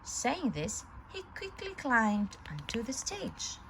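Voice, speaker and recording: A woman reads a children's story aloud. She is deliberate and slow, with lively swings in pitch, and there is slight ambient noise in the recording. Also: rough recording.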